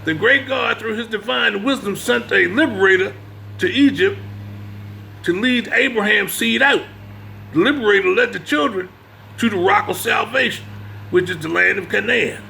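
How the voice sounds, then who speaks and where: A man with a gravelly voice giving an informal religious lecture, part reading and part commentary, emphatic and repeating his phrases to press the point. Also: sits high, pushed and bright, a little hiss or hum, low-quality recording. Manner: emphatic, repeats phrases, checks listener's understanding. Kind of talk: informal religious lecture mixing read-aloud passages and commentary.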